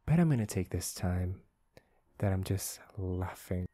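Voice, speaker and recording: Man acting a low, breathy, flirty office crush. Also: lively intonation, dry recording. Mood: playful, happy. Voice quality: soft, breathy, often dropping to a whisper. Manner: slow, halting, intimate, teasing. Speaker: ASMR voice actor. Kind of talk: ASMR romantic roleplay. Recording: music behind a close voice. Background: soft instrumental music.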